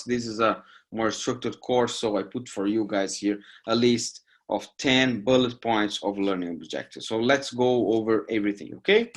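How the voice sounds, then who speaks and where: A man in his forties from Brazil, whose first language is Portuguese, teaching an online course in a lively way, often tagging lines with "right?". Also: medium pitch. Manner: lively, with emphatic rising asides and "right?" tags. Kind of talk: recorded lecture over slides. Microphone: webcam video call framing.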